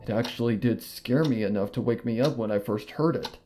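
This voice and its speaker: A man's voice: mid-range voice, a little fast, warm tone, soft background music, natural voice. Guy reading as a nervous little dragon kid, hesitant, uneasy, mid voice. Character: young male dragon, nervous and troubled.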